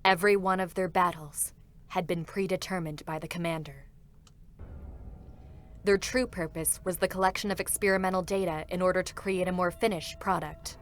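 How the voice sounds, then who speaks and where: A woman giving a measured, formal scripted narration of a dramatic game story. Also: fairly low pitch, a bit bright.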